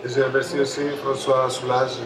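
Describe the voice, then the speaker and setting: A man whose first language is Greek addresses an audience with a Greek accent. He speaks haltingly at a middle pitch, circling back over phrases. The sound is echoey and distant, with a busy fair crowd behind him. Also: completely flat delivery. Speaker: photographer and panel speaker. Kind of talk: public talk at a book fair, introducing a debate. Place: busy book fair stand.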